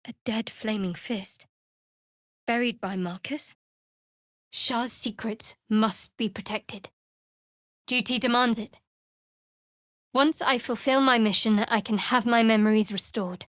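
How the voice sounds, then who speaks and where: A woman voicing a guarded, sardonic young cleric of a dark goddess in a fantasy video game, with a dry, wry, measured delivery. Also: a little high, no echo. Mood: solemn, devout.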